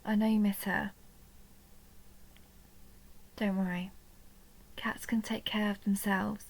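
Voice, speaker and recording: Vampire countess for intimate audio roleplay, played by a woman: soft and coaxing, near-whispered, creaky, narrow pitch movement, lonely and possessive. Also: little pitch movement, takes it slowly. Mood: soothing, affectionate. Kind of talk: intimate roleplay audio drama, addressed to the listener.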